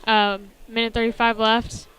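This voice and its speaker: Clear, high-pitched woman with level delivery. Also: little pitch movement, measured pace, a bit bright, low-quality recording. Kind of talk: casual, unscripted live update. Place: outdoors at a football game.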